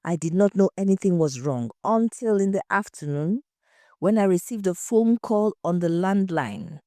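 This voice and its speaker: A woman's voice: lowish voice, warm tone, dry recording, clean studio sound. A woman voicing an anxious, indignant husband accused of murder in an audio story, emphatic and defensive.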